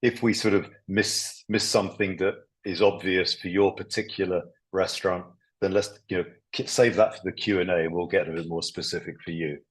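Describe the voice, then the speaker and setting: Husky deep-voiced man presenting casually. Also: a little fast, hardly any pauses, low-quality recording. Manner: relaxed, conversational, hedging words. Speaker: company chairman. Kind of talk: webinar presentation.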